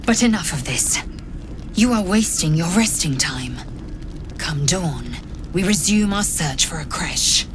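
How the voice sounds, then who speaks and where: A proud alien warrior woman from a fantasy game, speaks in a low register. Her delivery is imperious, clipped and formal, every line a stern command. A faint noise sits behind her. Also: lively intonation, unhurried. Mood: haughty, stern.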